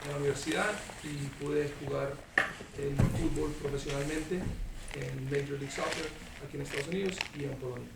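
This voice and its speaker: Gravelly man from Colombia, noisy hall. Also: a little high, fairly even intonation, fast talker. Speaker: soccer coach, former professional player. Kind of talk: bilingual self-introduction. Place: school assembly stage. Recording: very noisy echoey hall, distant mic.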